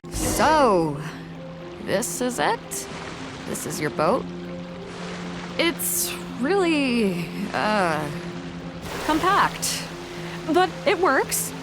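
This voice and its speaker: A woman's voice: fairly high pitch, big pitch swings, extremely slow, long pauses, full character voice. An actress playing a brash, confident female sea captain in a video game, hearty, blunt and commanding. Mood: breezy, hearty. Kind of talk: video game character voice acting. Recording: music under the voice.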